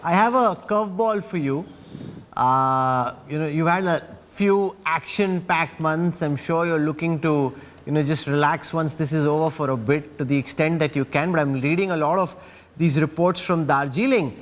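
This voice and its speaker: A man anchoring a live news interview, asking teasing, wry questions. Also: a little high. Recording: long reverb on the voice.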